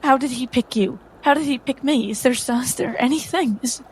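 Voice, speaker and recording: A woman voicing an anguished human girl in a tabletop roleplay, halting and tearful, demanding answers. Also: high-pitched, a little hiss or hum, pitched above the performer's own voice, lightly acted, poor audio. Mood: anguished, bewildered. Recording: music behind the voice.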